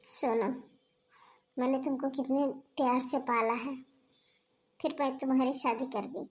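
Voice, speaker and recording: Brash young sister-in-law, a woman doing her, pretty high voice, grumbles a lot. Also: steady tone, a little slow. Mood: complaining. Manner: blunt, grumbling. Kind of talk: homemade family skit acting.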